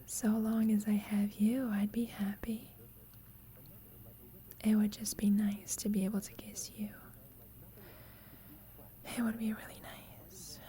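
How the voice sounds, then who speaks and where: Woman acting a slow, whispering lovestruck young roommate. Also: medium pitch, close to an everyday voice. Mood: tender, content. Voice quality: soft breathy whisper. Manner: hesitant, slow, confiding. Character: shy lovestruck female student roommate, tender and insecure. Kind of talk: ASMR roleplay, intimate monologue.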